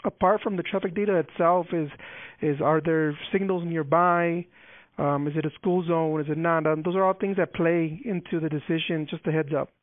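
A man's voice: on the high side, fairly even intonation, normal speed, no room sound. A man from a transportation office explaining the process over the phone, with many fillers and restarts. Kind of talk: phone call. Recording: tinny phone line, dry.

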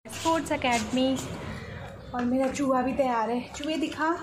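A talkative woman in her thirties records a daily vlog on a phone at arm's length, her voice sitting a little high. She rambles easily and coaxes patiently as she guides a child. A steady hiss of background noise runs underneath. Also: moderate pace. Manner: chatty and rambling, coaxing when teaching her son. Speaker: vlogger and mother. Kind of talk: casual daily vlog chat. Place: in a car, then at home indoors. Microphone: phone held at arm's length.

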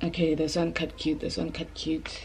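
A woman's voice: sits low, level tone, moderate pace, gravelly, a little mellow, slight background noise, low-quality recording. A woman, chatting informally to camera and thinking aloud at home. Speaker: vlogger. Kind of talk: casual chat to camera. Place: indoors, bedroom and bathroom at home.

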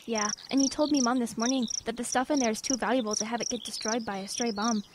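A woman's voice: a little high, steady tone, quick pace, very noisy, lightly acted. A woman playing an eager, curious schoolboy with an English accent in a radio drama. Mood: chatty, confiding. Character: eager, curious British schoolboy named Nigel.

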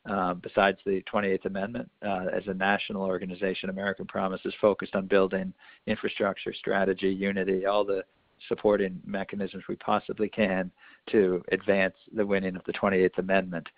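A man hosting a conference call over the phone, explaining things in a halting way and often repeating words. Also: sits low, completely flat delivery, dry recording. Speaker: host of a national activist conference call. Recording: muffled, narrow telephone conference line.

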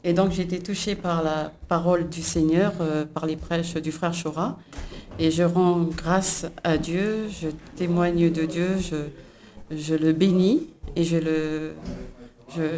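Young woman from New Caledonia, hesitant church testimony, low monotone and mellow, faint noise behind. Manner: hesitant, many fillers. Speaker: church member giving testimony. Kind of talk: religious testimony.

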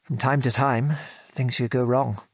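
A man's voice: mid-range voice, steady tone, a little slow, dry recording, studio quality. An actor playing an affectionate British father and former wartime air force officer, patiently reminiscing in an audio drama. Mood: wry reminiscing. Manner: chuckling, patient, old-fashioned British turns of phrase.

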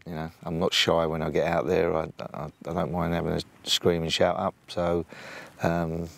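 Gravelly low-voiced English coach outdoors. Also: male voice, monotone, normal speed, dry recording. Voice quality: booming voice. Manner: plain-spoken, hesitant with many ums and restarts. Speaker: assistant football coach. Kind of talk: sit-down interview. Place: outdoors beside a football training pitch. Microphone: off-camera mic, no lapel.

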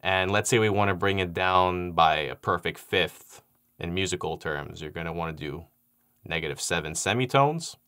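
A young man explaining audio editing software in a relaxed tutorial, with frequent fillers, recorded on a studio microphone in a dark studio. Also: low-pitched, normal speed, smooth. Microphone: studio microphone on stand.

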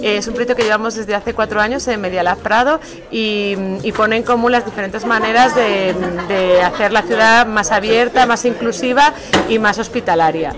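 A woman's voice: fairly high pitch, normal speed. A woman explaining a project for a podcast as its coordinator. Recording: music playing behind the voice.

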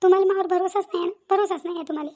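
A woman's voice: extremely high pitch, fairly even intonation, racing, a little mellow. A woman voicing an aggrieved young daughter-in-law in a cartoon family drama, insistently repeating herself. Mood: hurt, aggrieved. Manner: repeats phrases insistently, rhetorical questions. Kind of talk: Marathi cartoon family comedy-drama acting.

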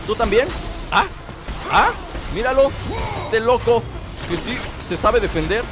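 Young Mexican gamer, stuffy from a cold, high and animated, jokey let's-play host. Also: male voice, measured pace. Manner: playful, jokey, bursts into excited shouts. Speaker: gameplay commentator. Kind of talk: casual let's-play commentary. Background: game music.

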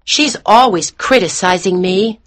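A man's voice: sits high, a little slow, fairly bright tone, pitched above the performer's own voice. A man voicing a bold, candid little American boy in a storybook, with blunt, childish declarations. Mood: petulant, insistent.

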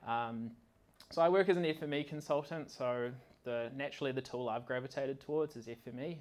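A man gives a live technical demo to a meetup in an indoor venue. His pitch is mid-range for a man, and his delivery is casual, slightly halting as he operates the software while he talks. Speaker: conference speaker, software consultant. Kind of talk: informal technical demo presentation. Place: indoor meetup venue with large windows and projector screen.

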